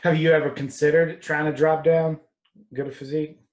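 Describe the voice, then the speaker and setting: A man from Russia hosting a casual interview over a video call, relaxed and full of fillers. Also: on the high side, a little fast, muffled recording. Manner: relaxed, many "like" fillers, laughs easily. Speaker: podcast host.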